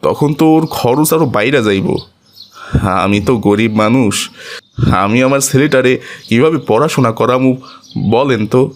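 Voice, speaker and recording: A man voicing a humble, loving poor father in a cartoon, gentle and plain-spoken with a colloquial Bangladeshi accent. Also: mid-range voice, normal speed, pauses often, close to an everyday voice. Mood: humble, pleading. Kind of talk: animated cartoon dialogue.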